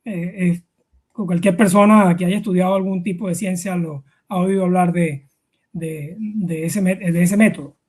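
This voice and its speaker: Middle-aged man, high-pitched voice, Venezuelan accent, interview guest, informal explaining, hesitant, repetitive fillers. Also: steady tone, moderate pace, a little mellow.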